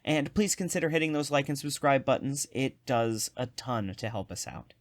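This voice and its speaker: A man's voice: mid-range voice, animated, moderate pace, no echo. A man giving a friendly promo straight to listeners as a channel producer.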